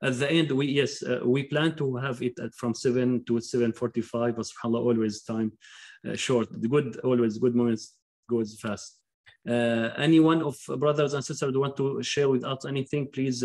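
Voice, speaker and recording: Courteous man hosting, halting speech. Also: medium pitch, fairly even intonation, darkish tone. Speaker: Quran recitation teacher hosting a graduation. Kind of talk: informal ceremony speech. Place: home study, on a video call. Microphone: computer microphone on video call.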